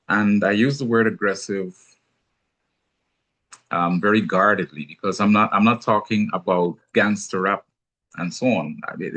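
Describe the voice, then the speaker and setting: Husky low-voiced Guyanese man teaching. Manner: measured, explanatory, gently humorous. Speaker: speaker on anger management. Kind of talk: teaching talk.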